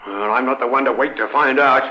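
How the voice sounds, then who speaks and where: Menacing male crime boss, played by an actor, forceful mid-pitched voice, impatient, dull vintage radio sound. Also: brisk, natural voice. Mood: grumbling. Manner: blunt, threatening. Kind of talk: old-time radio drama acting. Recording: muffled old radio recording.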